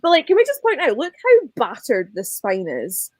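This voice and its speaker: A woman's voice: sits high, huge pitch swings, normal speed, darkish tone, slightly rough. A woman in a casual group book chat, talking informally and ramblingly and often quoting as she goes. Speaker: guest on a book readalong livestream.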